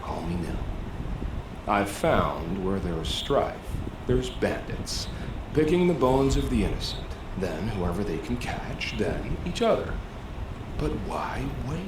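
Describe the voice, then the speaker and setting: A man playing an eerily calm, bloodthirsty mercenary in a video game, speaking in a measured, savouring way. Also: on the low side, reverberant, background noise. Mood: calm menace. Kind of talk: video game dialogue acting.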